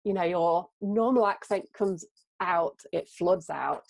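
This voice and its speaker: Woman, English Midlands accent, podcast host, chatty anecdotal, lowish voice, dry close sound. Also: measured pace, creaky, no room sound, low-quality recording. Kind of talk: casual podcast conversation.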